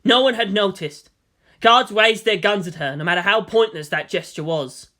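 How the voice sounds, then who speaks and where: A man's voice: high voice, moderate pace, bright, forceful tone. A man giving a dramatic scripted narration of a fan fiction story.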